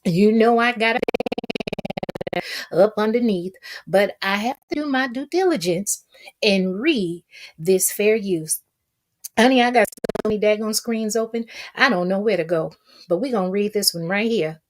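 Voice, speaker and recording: A woman's voice: mid-range voice, a little slow. A woman giving casual gossip commentary as an online host, sassy and folksy, often repeating phrases for emphasis. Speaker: YouTube commentator and channel host.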